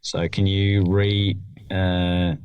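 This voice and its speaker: A man's voice: sits low, level tone, drawn-out words, clean tone, dry recording, highs cut off. A man co-hosting a podcast, talking things through hesitantly with lots of fillers. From New Zealand. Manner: hesitant, many fillers, thinks aloud. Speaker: podcast co-host, rules explainer. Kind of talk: casual chat. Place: small hut at home, cold. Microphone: remote call recording.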